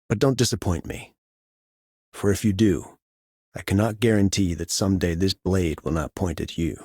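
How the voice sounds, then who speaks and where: An actor playing a menacing, predatory swordsman in a game, speaking in a cold, deliberate, threatening way. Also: low voice, steady tone, warm tone, husky, no echo, clean studio sound. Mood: cold menace. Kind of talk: scripted game character monologue.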